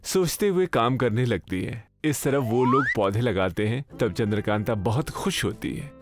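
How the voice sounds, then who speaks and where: Male narrator, medium pitch, scripted moral-tale storytelling over a prominent music bed. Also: lively intonation, moderate pace. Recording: background music under narration.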